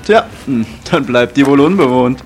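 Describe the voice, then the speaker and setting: A man's voice: mid-range voice, lively intonation, moderate pace, slight background noise. A young man in scripted comic audio drama dialogue, with a grumbling, sarcastic manner. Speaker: performer playing himself in audio drama. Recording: music bed behind the voice.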